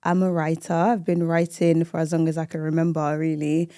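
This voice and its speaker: A woman in her early thirties talks casually as a podcast guest. Her voice is fairly low and very mellow, recorded close and dry. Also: completely flat delivery, fairly quick, smooth, no echo. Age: early 30s. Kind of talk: casual chat.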